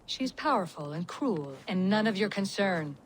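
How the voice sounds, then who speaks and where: A woman's voice: mid-range voice, lively intonation, normal speed, a little hiss or hum, close to an everyday voice. An actress playing a proud, sly Norse witch in a video game, sardonic and haughty. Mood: guarded, cagey. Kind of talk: video game cutscene dialogue.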